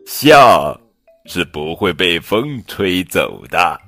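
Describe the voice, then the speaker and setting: A man's voice: deep voice, very animated, slow, fairly bright tone, faint music behind, exaggerated voice. A man voicing a playful, mischievous patchwork elephant in a children's storybook, chuckling as he talks. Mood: cheeky.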